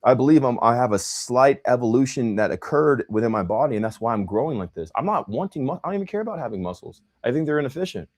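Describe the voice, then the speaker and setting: Animated young man chatting, slangy. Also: mid-range voice, fast, hardly any pauses. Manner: animated, slangy, says bro and man a lot. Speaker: host. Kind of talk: casual livestream chat.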